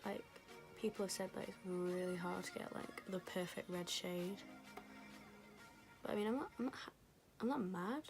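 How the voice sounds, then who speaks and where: Chatty young woman, voice going hoarse. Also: medium pitch, normal speed, long pauses, low-quality recording. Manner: chatty, rambling, lots of fillers. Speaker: beauty vlogger. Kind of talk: casual makeup tutorial chat. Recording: music playing behind.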